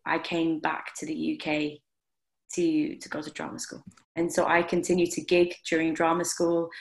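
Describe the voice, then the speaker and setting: A woman's voice: low voice, completely flat delivery, normal speed. A young woman with a Lancashire accent, answering interview questions in a thoughtful way with frequent restarts. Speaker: guest theatre maker and composer. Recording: roomy echo.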